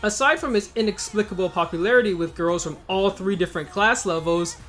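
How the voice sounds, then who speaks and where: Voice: mid-range voice, a little fast, a bit bright, soft background music. A video host narrating a scripted game guide in a casual, conversational way with informal asides.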